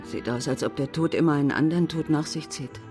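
A woman's voice: low voice, quick pace, music in the background, close to an everyday voice. An actress playing an older widowed woman who gives frank, matter-of-fact answers in a radio drama. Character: older widowed woman, loyal and plain-spoken. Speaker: witness. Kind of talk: German radio play acting.

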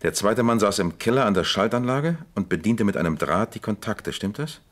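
An actor playing a shrewd, dryly amused police captain who probes with questions in a radio crime drama. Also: male voice, lowish voice, lively intonation, brisk, natural voice. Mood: wryly amused.

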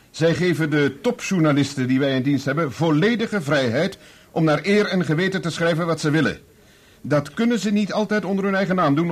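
A man's voice: fairly high pitch, natural voice. An actor playing a stiff, self-righteous male newspaper boss with a formal, pompous delivery in a Dutch radio play.

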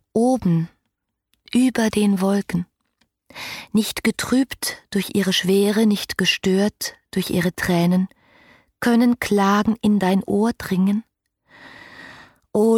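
An actress playing an ardent, yearning romantic woman in a literary radio drama, with fervent, lyrical delivery that can turn hushed. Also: medium pitch, husky, dry recording, clean studio sound. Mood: pleading.

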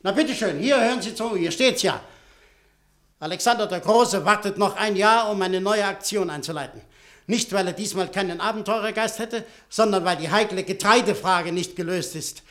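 A man's voice: high voice, normal speed. An actor playing a powerful, impatient businessman in a radio play, blunt and sardonic. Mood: indignant. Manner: forceful, sardonic, direct. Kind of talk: German radio play acting.

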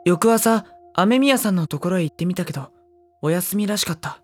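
Earnest young high school boy. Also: lively intonation, normal speed, darkish tone, husky, soft background music. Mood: narrating. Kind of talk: Japanese audio drama acting.

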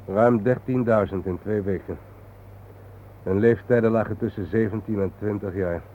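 An actor as a brooding, haunted male guide in a radio play, grave and reflective. His voice is fairly low, heard through a tinny, narrow band with light noise. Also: steady tone, normal speed, close to an everyday voice. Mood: calm, weary. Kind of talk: radio drama acting, at times poetic.

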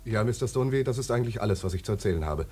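A man's voice: on the low side, lively intonation, racing, background noise, natural voice. A man playing an earnest, defensive former soldier being questioned in a radio drama, deferential and matter-of-fact. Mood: subdued, weary. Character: subdued male former soldier under interrogation, earnest, defensive. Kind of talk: German radio drama acting.

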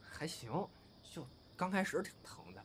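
一位男演员在广播剧里饰演调皮爱闹的相声搭档兼恋人，年纪较轻，说话俏皮，爱斗嘴。. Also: male voice, on the high side, a little slow, slight background noise. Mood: soft, sincere. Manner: cheeky, expressive, bantering. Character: cheeky, playful younger male comedy partner and lover. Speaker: voice actor. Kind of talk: intimate audio drama dialogue.

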